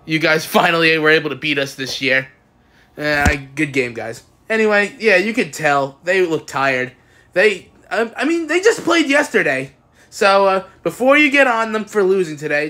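A young man giving casual, excitable postgame recaps as a sports fan, recorded on a phone at home. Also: fairly high pitch. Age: young adult. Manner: excitable, exclamatory fan cheering. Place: small indoor room at home. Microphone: phone at arm's length.

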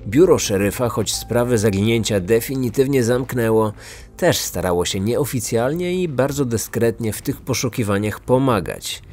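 A man's voice: medium pitch, normal speed, a little mellow. A man narrating a scripted true-crime podcast as its host, in documentary style. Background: music.

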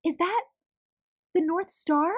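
A woman's voice: very high voice, slow, pitched above the performer's own voice. A woman voicing a brave young female storybook reindeer, sweet and earnest, with a light girlish voice. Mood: wondering.

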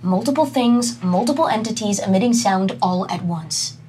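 Confident woman audio analyst, mid-pitched actress. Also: moderate pace, dark tone, slight background noise, lightly acted. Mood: explaining. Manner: explanatory, assertive. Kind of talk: naturalistic audio drama acting.

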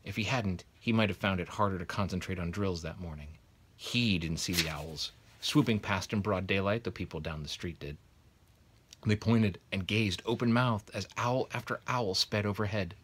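Male storybook reader, low register, husky tone, narrating a children's novel. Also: fairly bright tone. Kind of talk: storybook reading aloud. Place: small bedroom, indoors, sitting in bed.

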